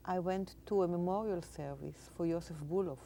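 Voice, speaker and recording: A woman from Israel, a theater teacher, speaking as a guest in an interview, measured and sometimes searching for words. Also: on the low side, gravelly, dark tone, slight background noise. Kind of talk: interview conversation.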